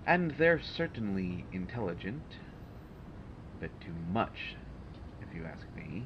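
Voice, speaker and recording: A man's voice: low-pitched, very animated, slow, dull audio, noisy background, full character voice. A man playing a haughty, prickly supervillain mastermind in an audio roleplay, speaking in formal, condescending, exasperated phrases. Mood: wistful, guarded. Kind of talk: audio roleplay acting addressed to the listener.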